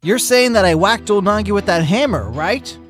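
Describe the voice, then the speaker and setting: A man voicing a hyperactive, boastful sloth fitness instructor in a fan fiction reading, peppy and shouty. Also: sits high, animated, normal speed, faint music behind, voice pushed up. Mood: boastful, energetic.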